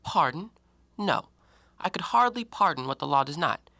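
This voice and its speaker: A man playing a talkative, educated and self-righteous gentleman antiquarian in an audio drama, given to theatrical, argumentative speeches. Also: on the high side, big pitch swings, normal speed, gravelly, no room sound, heavily acted. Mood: firm, reasoning. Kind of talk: stage-play acting in an audio drama.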